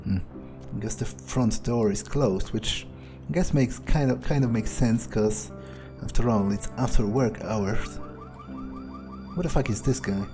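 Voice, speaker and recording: A man's voice: mid-range voice, huge pitch swings, unhurried, over music. A man doing in-character roleplay commentary on a video game, musing and thinking aloud. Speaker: gameplay video host.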